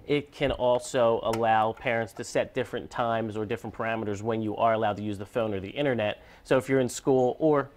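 A man's voice: on the low side, fairly even intonation, a little fast, few pauses, a little mellow. Young man explaining phone apps on TV. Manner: informal, filler-heavy explaining with restarts. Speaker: computer expert guest. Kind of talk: explaining tech on morning TV. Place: TV news studio.

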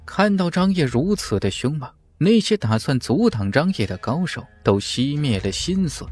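A man's voice: medium pitch, darkish tone, husky. A man narrating a fantasy novel in a dramatic, scripted audiobook style.

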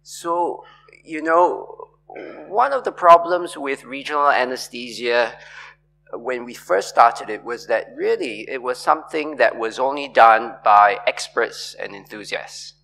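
Gravelly middle-aged man lecturing from a podium. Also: medium pitch, sharp tone. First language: not French. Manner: measured, explanatory, with frequent uh fillers. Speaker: conference lecturer. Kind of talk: academic conference talk. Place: large lecture hall, on stage at podium. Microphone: podium microphone.

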